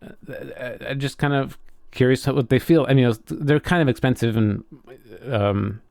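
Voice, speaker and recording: A man's voice: mid-range voice, creaky, a little mellow, smooth, dry recording. A man co-hosting a podcast in casual conversation, often stopping and restarting his sentences as he thinks aloud. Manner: halting, frequent self-interruptions. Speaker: podcast co-host.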